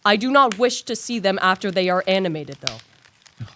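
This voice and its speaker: A woman's voice: mid-range voice, big pitch swings, moderate pace, a bit bright, a little hiss or hum. A woman acting a troubled, proud young male scientist in a radio play, formal and solemn. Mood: reluctant.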